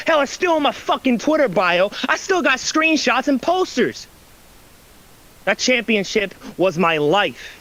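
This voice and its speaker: A man's voice: high-pitched, sharp tone, poor audio. A man cutting a heated, profane wrestling trash-talk promo for an online league, aggressive and taunting.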